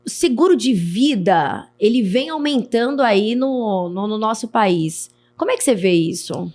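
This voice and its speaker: A woman's voice: a little high, animated, measured pace, darkish tone. A woman hosting a podcast interview, enthusiastic, often restarting her phrases as she asks questions. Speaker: podcast host.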